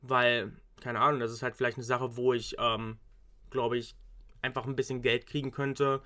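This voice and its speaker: A man's voice: mid-range voice, little pitch movement, moderate pace, sharp tone. A young man, a university student, chatting casually, rambling with frequent restarts and English slang. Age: young adult. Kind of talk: casual chat.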